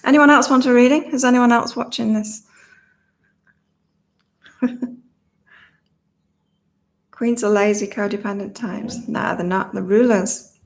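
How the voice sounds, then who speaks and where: A woman hosting a casual psychic livestream, slightly croaky, reading viewers' comments aloud and laughing often between card readings. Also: a little high, fairly even intonation, a little slow, long pauses. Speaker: psychic reader and channel host.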